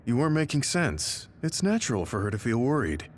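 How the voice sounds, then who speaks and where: An actor playing a level-headed, observant soldier in a video game cutscene. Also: male voice, medium pitch, very animated, normal speed, slight background noise, natural voice. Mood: reassuring.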